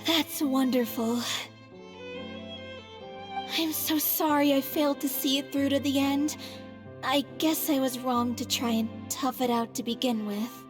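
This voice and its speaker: A frail but earnest young performer in a video game. Her voice is high, with a gentle, apologetic way of talking. Soft music sits behind it. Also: steady tone, measured pace, long pauses. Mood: relieved, apologetic.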